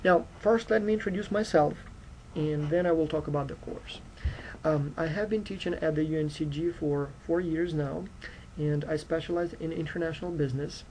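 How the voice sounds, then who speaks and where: A man's voice: fairly high pitch, normal speed, darkish tone, a little hiss or hum, rough recording. A man from Ukraine with a Ukrainian accent, giving an earnest, direct university course introduction with frequent fillers. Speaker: university lecturer. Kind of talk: recorded course introduction lecture.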